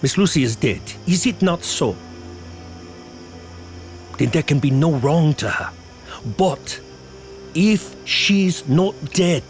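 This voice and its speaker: Old professor vampire hunter, slow and dramatic, swoops up and down, grave gothic radio play. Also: male voice, on the high side, animated. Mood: solemn. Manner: deliberate, probing, rhetorical. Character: learned older male professor, grave, insistent vampire hunter. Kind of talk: theatrical gothic audio drama acting. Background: music.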